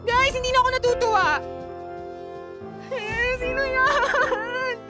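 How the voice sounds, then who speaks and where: A woman's voice: very high-pitched, steady tone, slow, over music. A young woman with a Filipino accent acting an anxious, indecisive teenage student in a radio drama. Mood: frightened, exasperated.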